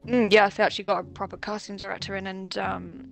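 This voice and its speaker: A woman's voice: mid-range voice, level tone, quick pace, creaky, fairly bright tone, faint music behind. Chatty woman telling stories. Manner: chatty storytelling with frequent swearing and nervous laughs. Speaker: voice actress guest. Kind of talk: casual chat.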